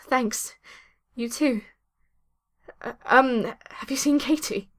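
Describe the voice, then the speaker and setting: Woman playing a bright-voiced, plucky young woman. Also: a little high, slow pace. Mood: warm, modest. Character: plucky, kind young woman among castle survivors. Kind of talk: audio drama acting.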